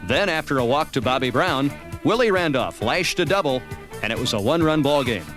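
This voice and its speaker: An American man reading scripted narration for a sports highlight documentary. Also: lively intonation, a little fast, a bit bright. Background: music.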